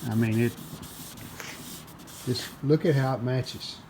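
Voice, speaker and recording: A man with a mid-range voice hosts a do-it-yourself video. He narrates the hands-on work casually and plainly, without a script, often pausing partway through a sentence. Noticeable background noise and room ambience sit behind his voice. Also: mid-range voice. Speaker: host of a radio restoration video channel.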